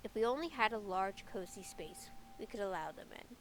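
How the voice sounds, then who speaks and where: A woman's voice: mid-range voice, lively intonation, a little fast, fairly bright tone, slight background noise, close to an everyday voice. A woman voicing a wary, world-weary forest witch from a fantasy game, with a sceptical, foreboding manner.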